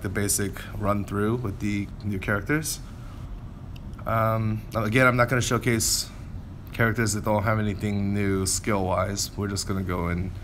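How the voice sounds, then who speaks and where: A man's voice: lowish voice, a bit bright, slight background noise. A man giving casual gameplay commentary in an informal way, as if thinking aloud. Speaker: game content creator, host.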